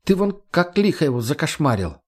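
A man's voice: fairly high pitch, sing-song, brisk, pitched above the performer's own voice. A man voicing a brash, sarcastic, foul-mouthed young woman in an audiobook, slangy and argumentative. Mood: mocking. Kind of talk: audiobook character voice.

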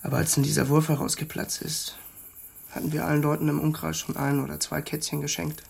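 An actor playing a haunted, simple country man in a radio drama, halting and hushed, with a gravelly, husky voice. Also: on the high side, little pitch movement, heavily acted. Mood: haunted, remorseful.

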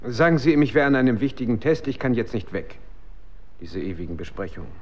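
A man's voice: medium pitch, expressive, quick pace, dull audio, lightly acted. An actor playing a casual, mildly grumbling married professional man in a naturalistic radio drama, offhand and dry. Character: casual, mildly grumbling husband, a working doctor.

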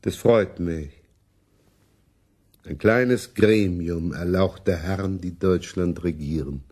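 A man playing a scheming, self-satisfied older prince in a radio drama, with a husky voice. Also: low-pitched, slow pace, a little mellow. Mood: smug, calculating. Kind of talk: German radio drama acting.

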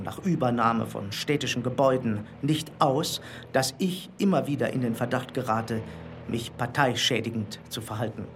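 An actor voices an aggrieved Nazi-era town mayor in a radio docudrama. He is a man of middling pitch, formal and officious, full of rhetorical indignation. There is noise in the background. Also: close to an everyday voice. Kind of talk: documentary radio play, formal letter reading.

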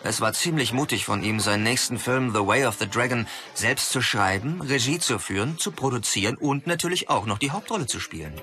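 An eager martial arts film buff in a radio play. His voice is bright and forceful at a middle pitch, and he explains things with eloquent enthusiasm. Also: expressive, a little fast, hardly any pauses, faint music behind. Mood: admiring. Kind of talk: scripted commentary in a radio play.

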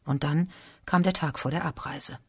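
A woman's voice: low voice, normal speed, a little mellow, slightly rough, no echo, clean studio sound. A woman giving scripted literary narration in a radio drama.

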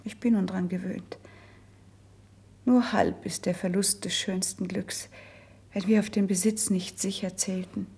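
Breathy, melancholy, slow-speaking princess. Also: female voice, medium pitch, fairly even intonation, heavily acted. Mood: pained resignation. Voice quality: breathy, husky. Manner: slow, measured, hushed verse delivery. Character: melancholy, resigned young princess, sickly since youth. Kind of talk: classical German verse radio drama acting.

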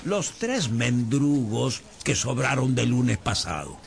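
Gravelly, cruel old blind beggar. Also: male voice, medium pitch, very animated, slow pace, a little hiss or hum, exaggerated voice. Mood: gruff, bossy. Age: older. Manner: mocking, theatrical, scolding. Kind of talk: radio drama acting. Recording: music bed behind voice.

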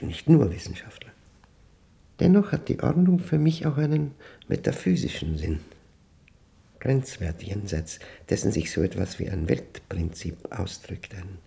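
An actor playing a lonely, orderly male mathematics professor in a German radio play monologue, speaking standard German in a reflective, pedantic, halting and self-questioning manner. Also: medium pitch, creaky, mellow. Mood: dry, deliberate.

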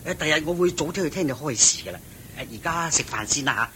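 An actor playing a complacent, evasive rich householder in a Cantonese radio drama, hearty and self-satisfied, with a Hong Kong accent. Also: male voice, a little high, sing-song, fast, pushed and bright. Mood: evasive, polite.

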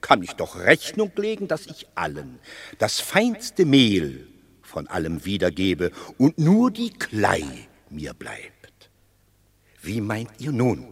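An actor playing a wily, eloquent Roman patrician in a radio drama, theatrical and rhetorical, placating rebels with fables. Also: male voice, fairly low pitch, huge pitch swings, gravelly, husky, exaggerated voice. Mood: measured, persuasive. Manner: theatrical, deliberate, rhetorical, swinging from hushed to declaiming. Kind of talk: classical German stage-style radio drama acting. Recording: long reverberant hall.

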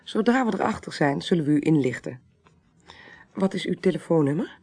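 Brisk, expressive female clerk. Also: low-pitched, slightly rough, heavily acted. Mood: formal, inquiring. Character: composed, courteous female court clerk investigating a death. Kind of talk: naturalistic Dutch radio drama acting.

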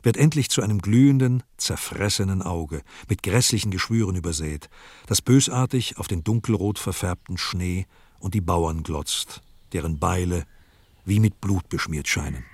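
A man's voice: sits low, moderate pace, darkish tone, husky, dry recording. A man narrating a grim village tale in a radio play with grave, dramatic delivery. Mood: grim, ominous.

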